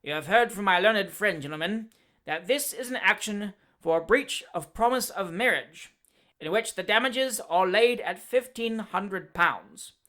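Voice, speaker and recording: A pompous, blustering barrister, put on by a man reading aloud. He orates in a grand, booming manner and pitches his voice high. The delivery is theatrical throughout. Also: measured pace, bright, forceful tone. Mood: grandiloquent.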